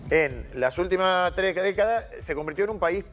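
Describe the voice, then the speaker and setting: A man's voice: high-pitched, animated, normal speed, fairly bright tone. Argentine guy hosting a news show, talks slangy and off the cuff, bit of music under him. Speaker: television news host. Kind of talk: informal studio discussion.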